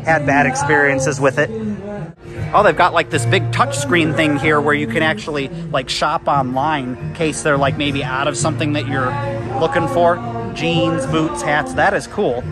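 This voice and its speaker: A man's voice: a little high, fairly even intonation, moderate pace. A man vlogging a walkthrough of a large store on a handheld camera, chatty and easily impressed, thinking aloud. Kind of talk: casual walkthrough narration. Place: large retail store indoors. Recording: store music playing behind. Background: store music.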